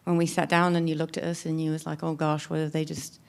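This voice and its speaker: A woman's voice: low voice, level tone, quick pace, vocal fry. A woman, a wife and mother in the congregation, talking candidly and chattily about her marriage. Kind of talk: candid church discussion.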